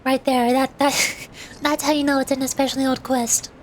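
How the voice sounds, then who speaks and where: A woman's voice: sits high, little pitch movement, normal speed, slight background noise. A woman live-streaming a game, chatting and reading dialogue aloud with playful, sarcastic asides. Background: game music.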